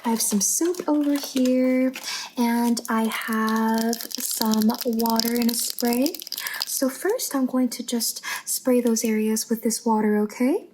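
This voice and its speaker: A woman playing a sweet, devout angel rescuer in an ASMR roleplay, with a gentle, soothing and primly pious manner. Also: fairly high pitch, steady tone, slow, background noise. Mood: soothing, tender. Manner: gentle, soothing, prim and pious, 1950s styled. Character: sweet, devout female angel rescuer tending a bunker survivor.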